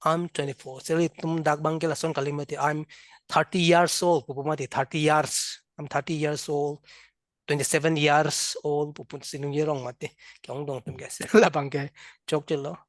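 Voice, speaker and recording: A man with a Karbi accent teaching an English class, drilling words and sounds slowly and having students repeat. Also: on the high side, flat delivery, no echo, clean studio sound. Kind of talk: classroom lesson, mixing local language and English.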